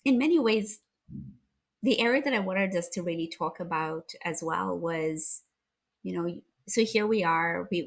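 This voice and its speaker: A woman's voice: fairly low pitch, a bit bright, slightly rough. A woman from Zimbabwe hosting a conversational interview, reflective and affirming, with frequent small restarts. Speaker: podcast host.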